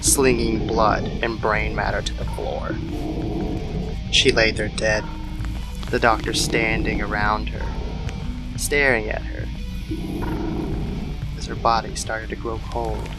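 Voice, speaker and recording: A man's voice: on the low side, level tone, slow pace, pauses often, loud music behind the voice, low-quality recording. A man narrating a scripted horror story as the storyteller of an audio drama. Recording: clear background noise under the voice.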